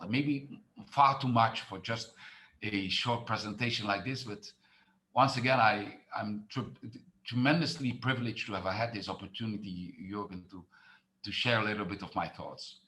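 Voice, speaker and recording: A man's voice: fairly low pitch, normal speed, pushed and bright, poor audio. A middle-aged man from the Netherlands, a tourism marketing expert who speaks with many fillers and restarts in an online presentation.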